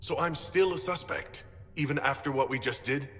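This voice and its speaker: An actor playing a wary, skeptical reluctant hero in a video game, questioning and challenging those around him. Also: male voice, mid-range voice, normal speed, fairly bright tone, lightly acted. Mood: incredulous. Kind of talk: dramatic video game acting. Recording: long hall reverb, music underneath.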